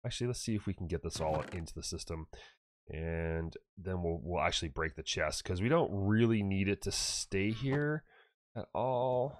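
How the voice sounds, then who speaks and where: A man's voice: fairly low pitch, no room sound. A man with a stuffed-up nose, casually commenting on his own gameplay in a rambling, chatty way. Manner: chatty, rambling, self-correcting. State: stuffed up with allergies. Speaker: gaming channel host.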